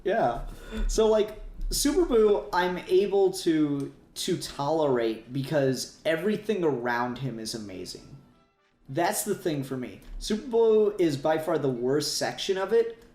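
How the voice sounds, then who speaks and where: A man's voice: on the high side, animated, unhurried, a little mellow. A man in casual, sweary fan discussion as a podcast co-host, rambling along with emphatic repetition. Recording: roomy echo, faint music behind.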